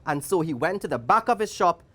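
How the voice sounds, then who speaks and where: A woman with a Trinidadian accent reads a children's story. Her voice sits a little low but swoops widely in pitch. She is theatrical and playful, dropping into chatty asides for young listeners. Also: animated, a little fast, fairly bright tone. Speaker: guest story reader for children.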